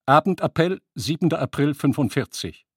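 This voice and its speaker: Husky, dry-sounding male narrator. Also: a little high, animated, moderate pace, no room sound. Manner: factual, clipped announcing of headings. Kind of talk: scripted documentary radio play narration.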